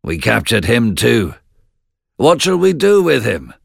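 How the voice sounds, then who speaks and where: A man's voice: mid-range voice, wildly swooping pitch, a little slow, vocal fry, no echo, studio quality, pitched above the performer's own voice, heavily acted. A man voicing an eager, loyal royal messenger in a storybook, with emphatic, declamatory delivery. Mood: proud reporting. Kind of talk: storybook narration.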